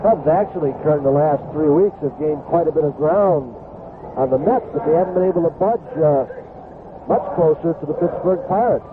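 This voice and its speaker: A narrow, noisy old AM radio broadcast carries an American man's fairly high voice. He analyzes baseball conversationally, as a color commentator would. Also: a little fast.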